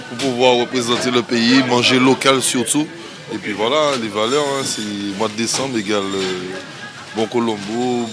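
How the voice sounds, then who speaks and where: A man speaking as a customer in a casual vox pop, in loose, fragmented phrases. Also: mid-range voice. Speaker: customer interviewed. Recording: loud music behind.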